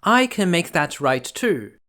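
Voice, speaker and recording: A man voicing a gentle, reassuring fairy godmother in a storybook, earnest and soothing. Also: on the high side, sing-song, slow, voice pushed up, exaggerated voice.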